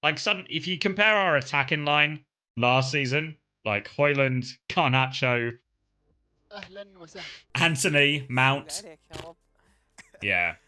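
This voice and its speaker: A man's voice: a little high, expressive, slow, pauses often, sharp tone. A young man from Kent with a southern English accent, chatting casually and rambling to his stream viewers, full of fillers and restarts. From England (Kent).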